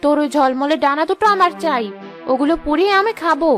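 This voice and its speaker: A woman playing a menacing, high-voiced witch queen. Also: fast, fairly bright tone, soft background music. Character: gloating, bloodthirsty old witch queen of the forest. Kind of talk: cartoon fairy tale acting.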